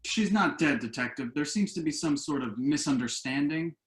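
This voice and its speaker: A man's voice: on the high side, animated, normal speed, natural voice. A man playing an earnest, concerned grown son in a comic play read aloud. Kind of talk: table-read stage comedy acting. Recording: roomy, echoey, off-mic sound.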